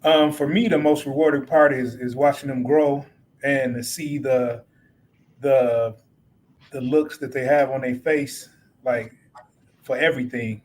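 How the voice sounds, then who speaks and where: A man's voice: mid-range voice, steady tone, unhurried, darkish tone. A man from Detroit with an American accent, giving casual conversational answers as a panel guest, repeating words as he searches for phrasing. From Detroit, Michigan, USA. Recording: clear background noise.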